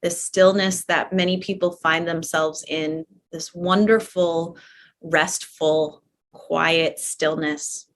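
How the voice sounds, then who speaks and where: A woman teaching a guided relaxation class in a gentle, permissive, conversational way, often repeating her phrases softly. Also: lowish voice, a little hiss or hum. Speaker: yoga nidra teacher guiding a live class.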